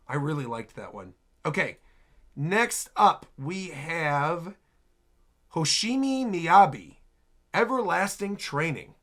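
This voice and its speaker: Excitable, bright-voiced man reacting to trailers. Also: a little high. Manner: excitable, exclaiming. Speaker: host reacting to game trailers. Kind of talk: casual reaction commentary.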